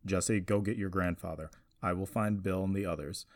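A man's voice: deep voice, fairly even intonation, moderate pace, dry recording, studio quality. A man voicing an authoritative middle-aged father taking charge in an audiobook story, terse and directive. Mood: brisk, directive.

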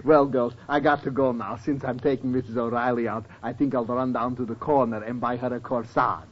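An actor playing a wry, teasing older professor in an old radio sitcom, with theatrical joke-telling and odd turns of phrase. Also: male voice, medium pitch, fairly even intonation, a little fast, slight background noise, natural voice. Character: wry, teasing older professor, grumbling fondly about women. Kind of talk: 1940s-50s radio sitcom acting. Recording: dull old-time radio recording.

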